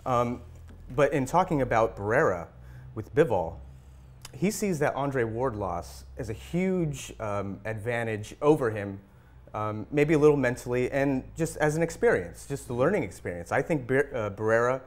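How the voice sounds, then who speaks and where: Male sports panelist, medium-pitched voice, casual discussion with a tentative, halting delivery. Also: lively intonation. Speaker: boxing panelist.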